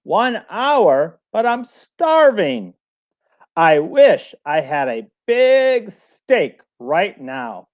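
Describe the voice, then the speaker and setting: A man playing a poor, impulsive woodcutter husband in a storybook read-aloud, plain-spoken and expressive. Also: high-pitched, very slow, exaggerated voice. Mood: whining. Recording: dull lo-fi recording.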